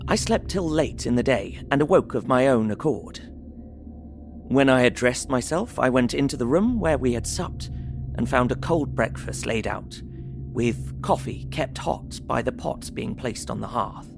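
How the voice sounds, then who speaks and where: Polite young english solicitor reading his journal, mid pitch, precise, soft music under it. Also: lively intonation, measured pace, creaky. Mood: content, observant. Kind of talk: audio drama, narrated journal.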